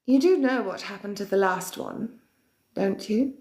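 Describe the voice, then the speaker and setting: Woman playing a whispering dark queen. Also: medium pitch, lightly acted. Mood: sinister, theatrical. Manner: theatrical, slow, often breathy whisper. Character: centuries-old female queen of darkness, villainous, theatrical. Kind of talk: in-character chat with viewers. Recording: roomy echo.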